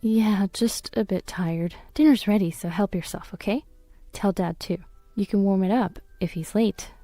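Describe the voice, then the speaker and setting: A woman voicing a tired, gentle mother in a story narration, speaking softly and reassuringly. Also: mid-range voice, moderate pace, no echo, soft background music, close to an everyday voice. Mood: weary, reassuring. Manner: soft, reassuring.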